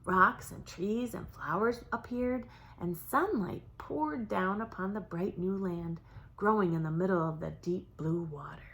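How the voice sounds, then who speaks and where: A woman reads a children's story as a storyteller for young kids, in a dramatic manner with drawn-out words and hushed moments. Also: mid-range voice, rough recording. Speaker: storybook reader for preschoolers. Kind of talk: expressive children's story narration.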